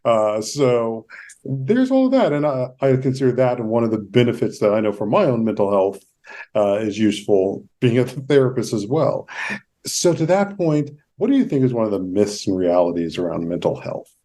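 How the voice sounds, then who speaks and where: Mid-pitched man hosting a podcast interview. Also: expressive, moderate pace, warm tone. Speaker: podcast host and interviewer, therapist.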